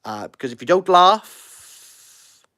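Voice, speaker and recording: Lively Welsh man chatting on a livestream. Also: high-pitched. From Wales. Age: 30s. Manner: rambling, self-deprecating, quick to laugh. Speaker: livestream host. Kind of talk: casual livestream chat answering comments.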